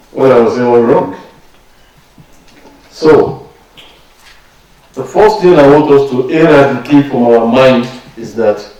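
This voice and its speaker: Slow, emphatic Nigerian man in echoey room. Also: mid-range voice, warm tone. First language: Yoruba. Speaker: workshop speaker. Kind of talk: public address. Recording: very echoey room, distant mic.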